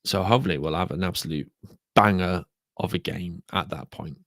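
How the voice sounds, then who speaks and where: Brit guy doing his podcast with a cold, croaky, rambly, dry sounding room. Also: sits low, brisk, fairly bright tone, no room sound. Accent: British English. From United Kingdom. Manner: rambling, repeats words. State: sore throat, croaky. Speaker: podcast host. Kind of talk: solo podcast talk.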